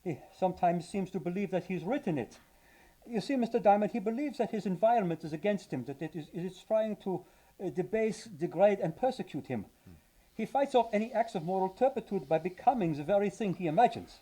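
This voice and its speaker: Man playing male asylum doctor, earnest, wordy, hesitant professor, soft mellow tone, old-time radio drama. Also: sits high, lively intonation, brisk, husky. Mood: earnest, explanatory. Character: male asylum psychiatrist, learned, earnest, long-winded. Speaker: actor in live radio play. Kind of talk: 1940s radio detective drama acting.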